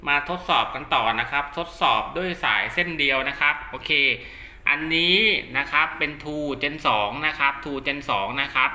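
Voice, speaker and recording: Bright, forceful man giving a casual demo. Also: fairly high pitch, moderate pace. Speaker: host of a radio gear channel. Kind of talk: casual product test tutorial. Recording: roomy echo.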